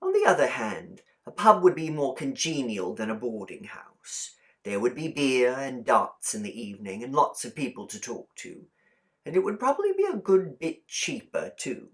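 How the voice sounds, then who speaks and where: Guy reading an audiobook story, medium pitched voice, expressive and deliberate storyteller delivery. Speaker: audiobook reader. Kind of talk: scripted storybook narration.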